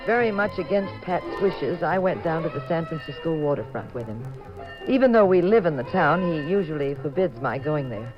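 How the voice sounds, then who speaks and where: An actress playing a witty, prim, jealous detective's wife in a 1950s radio comedy-mystery, with a polished, arch delivery. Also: female voice, low-pitched, expressive, moderate pace. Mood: wry, storytelling. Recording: dull 1950s radio recording, faint music.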